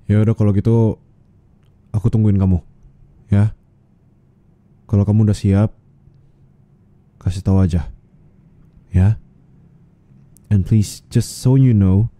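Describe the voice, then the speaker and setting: A man playing a gentle, caring husband in an ASMR roleplay, speaking in a tender, coaxing, reassuring way. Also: low voice, no room sound. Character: gentle caring young husband comforting his distressed wife.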